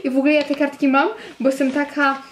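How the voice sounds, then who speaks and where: Woman, 20s, from Poland. Gushing casual vlogger on a close handheld camera, slightly high voice, roomy audio. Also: flat delivery, slight background noise. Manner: chatty, gushing, quick asides to viewers. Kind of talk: casual vlog chat to camera. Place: small bedroom at home. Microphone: handheld camera held close.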